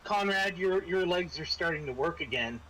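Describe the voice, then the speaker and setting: Bright-voiced man running a tabletop game. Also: fairly high pitch, lively intonation, moderate pace, a little hiss or hum, rough recording. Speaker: game master. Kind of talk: improvised tabletop game narration and casual rules talk.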